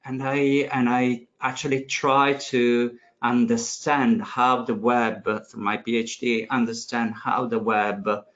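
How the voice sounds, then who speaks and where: Slow, halting man in his forties. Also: mid-range voice, little pitch movement, fairly bright tone. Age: 40s. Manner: halting, frequent restarts and fillers. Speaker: course leader. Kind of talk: informal course introduction. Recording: dull lo-fi audio.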